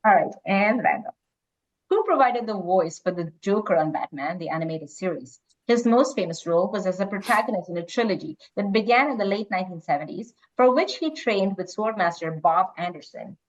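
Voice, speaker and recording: A woman's voice: mid-range voice, animated, a little fast. A woman hosting a quiz, reading out questions and chatting casually with the players. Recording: dull lo-fi online call audio.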